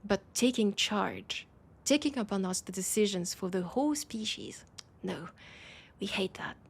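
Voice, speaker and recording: A woman with a French accent voicing a weary, wry female vampire in an intimate audio roleplay, speaking in a breathy, hesitant, confiding way. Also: mid-range voice, animated, unhurried, slight background noise. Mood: bitter, weary. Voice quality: breathy, husky.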